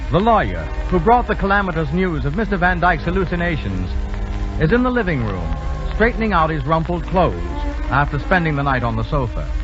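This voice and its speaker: Casting: male vintage radio announcer, high voice, sweeping intonation, scripted delivery. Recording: muffled 1930s radio broadcast.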